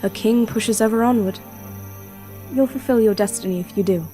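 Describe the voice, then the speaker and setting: A woman acting as a young, reserved and melancholy princess in an audio drama, with a measured, formal manner. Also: mid-range voice, mellow, faint music behind, natural voice. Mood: solemn, reassuring.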